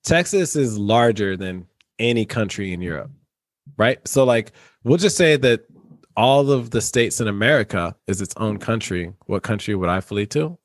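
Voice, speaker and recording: A man's voice: on the low side, animated, normal speed, dry recording, clean studio sound. A man co-hosting a podcast, talking in an easygoing, musing way. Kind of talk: casual chat.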